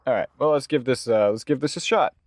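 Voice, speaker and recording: A man improvising as a tabletop game player, talking deliberately with some hesitation. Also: medium pitch, slight background noise. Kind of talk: improvised actual-play game talk.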